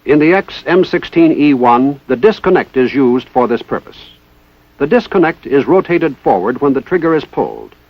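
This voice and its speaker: A man's voice: mid-range voice, expressive, normal speed, dry recording. A man with an American accent reading formal, methodical instructional narration in the style of a 1960s military training film. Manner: formal, precise, methodical enunciation. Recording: dull lo-fi 1960s film soundtrack.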